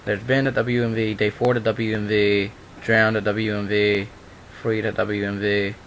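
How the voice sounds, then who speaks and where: Young adult male, lowish voice, amateur story reader with a loose conversational streak; faint noise on the recording. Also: flat delivery, moderate pace, a bit bright. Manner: informal, breaks off into offhand remarks. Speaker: online video maker reading a horror story aloud. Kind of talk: amateur dramatic reading with casual asides. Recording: noisy amateur home recording.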